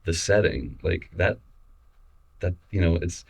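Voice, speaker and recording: A man with a very deep, low-pitched voice talks in a relaxed, casual way. He often trails off, repeats words and restarts his sentences while he chats in an interview. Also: vocal fry, husky. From Missouri, USA. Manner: relaxed, halting, many restarts and fillers. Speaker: photographer guest.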